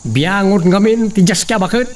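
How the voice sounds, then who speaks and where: A man's voice: high-pitched, normal speed, lightly acted. A man with an Ilocano accent acting as a defiant, scoffing hothead in a radio drama. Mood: dismissive. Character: defiant, scoffing hothead servant ready to retaliate. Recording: distant mic with faint insect noise.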